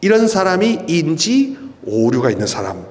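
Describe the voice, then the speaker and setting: Priest preaching in a reverberant hall. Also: male voice, a little high. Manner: lively, emphatic preaching with rhetorical questions and drawn-out stresses. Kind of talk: charismatic prayer-meeting sermon. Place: church hall at a prayer meeting. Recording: long reverb of a church hall.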